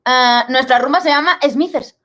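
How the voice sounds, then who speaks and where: A woman from Spain co-hosting a casual livestream, telling stories in an animated way and reading out viewer questions. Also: high voice, moderate pace. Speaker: livestream co-host.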